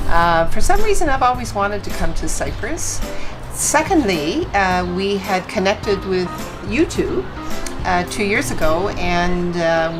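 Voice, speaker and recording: Newfoundland Canadian woman, interviewee, low-pitched, reflective with fillers, background music. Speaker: interviewee, volunteer. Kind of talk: casual interview. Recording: music bed behind voice.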